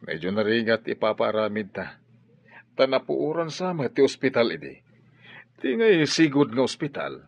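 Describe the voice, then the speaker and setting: A man's voice: mid-range voice, big pitch swings, normal speed, vocal fry. A man playing a reassuring private detective in an Ilocano radio drama. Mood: businesslike, reassuring. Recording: dull radio drama recording.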